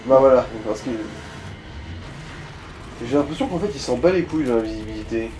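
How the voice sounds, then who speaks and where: A man's voice: mid-range voice, expressive, fairly quick, background noise. A man giving casual, slangy Let's Play commentary and coaching a player, with some swearing. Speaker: gaming co-host guiding a player.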